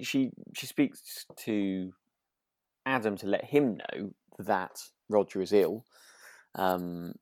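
A man's voice: fairly low pitch, creaky, a little mellow, no echo. A man chatting casually as a podcast co-host, thoughtful and tentative, often seeking agreement. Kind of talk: relaxed chat discussing a radio drama.

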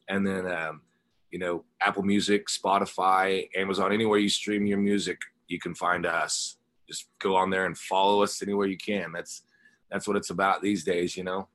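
A man's voice: low voice, fairly bright tone. A man talking casually and conversationally as an interview guest, a rock musician with a laid-back way of speaking. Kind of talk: casual chat, personal anecdotes.

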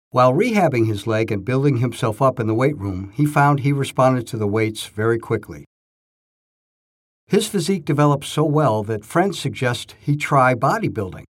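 A man narrating a scripted inspirational story from his own memories. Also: mid-range voice.

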